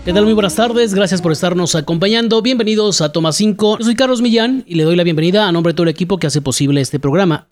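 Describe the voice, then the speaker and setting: A man's voice: high-pitched, quick pace, hardly any pauses. A man hosting a music show, reading scripted narration. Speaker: radio music show host.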